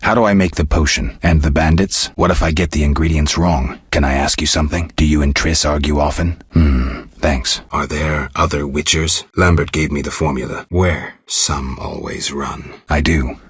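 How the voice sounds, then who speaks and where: World-weary male monster hunter for a fantasy game. Rock-bottom pitch, restrained terse delivery, close dry sound. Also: steady tone, normal speed, few pauses, dry recording, exaggerated voice. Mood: terse, matter-of-fact. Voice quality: gravelly, husky. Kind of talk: video game character dialogue.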